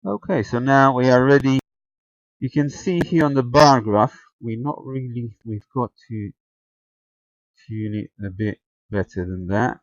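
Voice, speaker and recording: A man giving a casual hands-on tutorial narration, halting and thinking aloud as he goes. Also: medium pitch, dry recording. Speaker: amateur radio video tutorial presenter. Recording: dull, lo-fi close sound.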